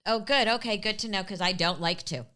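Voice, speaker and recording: A woman's voice: mid-range voice, fairly quick, bright, forceful tone. A woman hosting a podcast, introducing and interviewing a guest in a conversational style. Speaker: podcast host.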